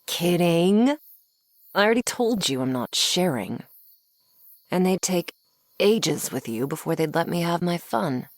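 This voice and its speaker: A woman's voice: fairly low pitch, expressive, measured pace, long pauses, no echo. A woman playing a flirty, sardonic female vampire in an ASMR roleplay, taunting the listener playfully up close. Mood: smug, playful. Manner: sardonic, playful, taunting, with pet names and sighs.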